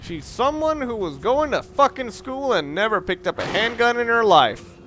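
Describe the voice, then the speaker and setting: A man giving casual, opinionated gameplay commentary, rambling and halting as he goes, with casual swearing. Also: very high-pitched, sing-song, background noise. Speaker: let's play gamer commentator.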